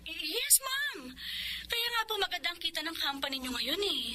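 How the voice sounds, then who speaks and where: A woman's voice: extremely high pitch, big pitch swings, normal speed, crowd noise. An actress playing a deceitful but deferential, reassuring female employee in a Tagalog radio drama. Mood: falsely reassuring. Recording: faint background noise and ambience.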